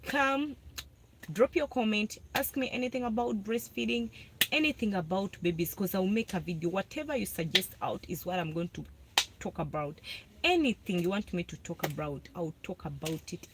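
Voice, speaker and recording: A woman's voice: medium pitch, lively intonation, normal speed, heavy background noise. A young Ugandan woman hosting a casual live video about breastfeeding. She talks to her viewers, answers their comments and repeats herself a lot for emphasis. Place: parked car.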